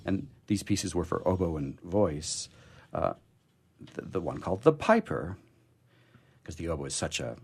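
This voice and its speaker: A man hosting a poetry program, speaking in a halting, expressive way as he talks casually and reads verse. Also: low-pitched, gravelly, breathy edge. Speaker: radio show host reading poetry. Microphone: studio microphone he drifts away from.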